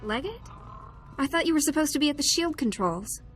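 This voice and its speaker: Wary young game operative, fairly high voice, faint music underneath. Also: female voice, expressive, brisk, a bit bright. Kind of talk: video game voice acting.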